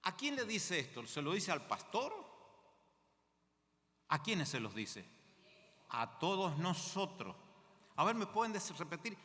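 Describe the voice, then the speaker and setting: A man's voice: high-pitched, big pitch swings, unhurried, long pauses, sharp tone, long reverb tail. An older man from Mendoza with an Argentine accent, preaching a sermon as a guest speaker in a conversational way, often asking the congregation questions.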